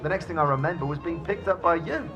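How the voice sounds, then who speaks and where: Prim, high-pitched, excitable talking head played by a man. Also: fast, faint music behind, natural voice. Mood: wistful. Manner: fussy, polite, theatrical, breaks into chuckles. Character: chatty, genteel severed talking head locked in a case. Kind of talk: audio drama character acting.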